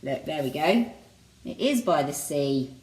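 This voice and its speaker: A woman reading a picture book aloud expressively, with chatty asides to young listeners. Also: sits low. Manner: expressive, deliberate.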